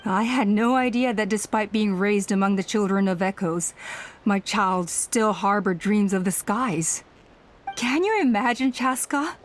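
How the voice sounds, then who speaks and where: A woman's voice: mid-range voice, measured pace, slight background noise, natural voice. Woman as a wistful, reflective older mother. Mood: moved. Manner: reflective, heartfelt storytelling. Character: wistful middle-aged mother, proud clan rider. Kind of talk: video game character monologue.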